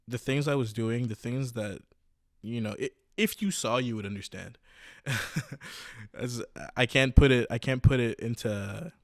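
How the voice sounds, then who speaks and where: A man's voice: medium pitch, no echo. Young man, podcast host, casual storytelling, slangy, lots of ums and restarts, dry close sound.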